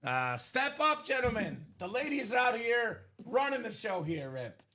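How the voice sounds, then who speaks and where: A young man from Bulgaria hosting a live sports card break stream, upbeat and joking, shouting out buyers by name. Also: very high voice, very animated, measured pace. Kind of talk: casual live-stream sales chat.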